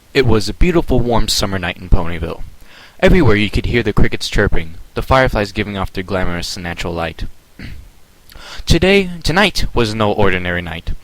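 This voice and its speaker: A man reading his own fan story aloud as a soft, hushed dramatic narrator. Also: lowish voice, dry recording, rough recording.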